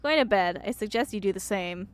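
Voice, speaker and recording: A woman's voice: mid-range voice, expressive, fairly quick, slight background noise, natural voice. A woman voicing a curt, weary, guarded woman from a story game, terse and dismissive. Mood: weary, resigned.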